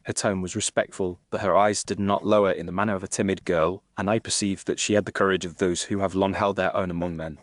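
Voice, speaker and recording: Low-voiced man narrating, close and dry. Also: steady tone, no room sound. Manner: measured, literary, formal. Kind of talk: scripted narration of a Victorian detective story.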